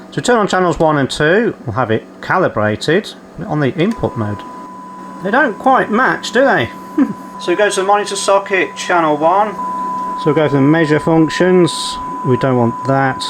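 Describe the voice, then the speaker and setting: A man hosting a repair video, thinking aloud step by step as he gives hands-on technical commentary. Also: on the high side. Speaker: repair channel host. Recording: clearly noisy, steady hum behind.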